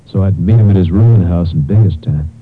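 An American actor playing a dejected, guilt-ridden young gambler in a 1950s radio drama, hesitant and subdued. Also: male voice, low-pitched, quick pace, slightly rough. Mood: regretful. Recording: thin, narrow 1950s radio recording.

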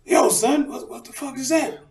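A man's voice: very high voice, moderate pace, vocal fry, distant mic. A man, a rapper telling stories casually in slangy, profanity-laced street talk. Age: 30s. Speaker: rapper, interview guest. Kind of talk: casual chat, storytelling.

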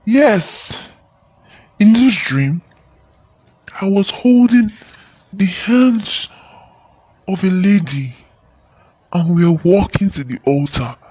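Man acting as devout young man, audio drama, husky voice, slow delivery, fearful, anguished, repetitive phrasing. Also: high-pitched, lively intonation, a little mellow, full character voice. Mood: troubled.

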